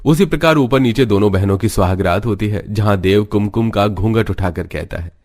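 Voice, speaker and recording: A man's voice: on the low side, a little fast, a little mellow. A man reading scripted narration for a cartoon story.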